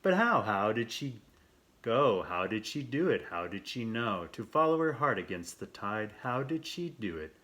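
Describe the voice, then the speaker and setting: A man's voice: mid-range voice, big pitch swings, normal speed, pushed and bright. A man voicing an eager, curious young male chick-pig child in a storybook, asking urgent, wondering questions.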